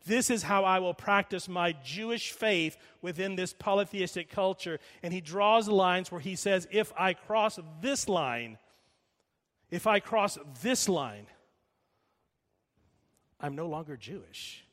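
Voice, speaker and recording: A middle-aged man preaching a sermon, emphatic, slowing and repeating lines for weight and dropping quiet while telling a story. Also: high voice. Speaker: pastor. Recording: long reverb of a large hall.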